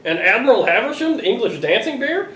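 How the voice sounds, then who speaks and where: A middle-aged man reading a picture book aloud to children, expressive and playfully exasperated. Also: extremely high pitch, brisk. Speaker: father reading to his children. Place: living room sofa, indoors. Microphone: camera a few feet away. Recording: roomy, distant home recording with noise.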